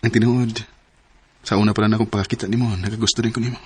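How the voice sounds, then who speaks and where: A man's voice: fairly low pitch, flat delivery, racing, slightly rough. An actor playing a friendly, eager young man courting a woman in a radio drama. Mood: shy.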